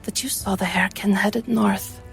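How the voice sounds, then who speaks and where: Slow, even-voiced female tabletop player. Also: mid-range voice, gravelly, faint music behind, poor audio. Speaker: player in a tabletop roleplaying game. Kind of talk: measured in-character roleplay and narration.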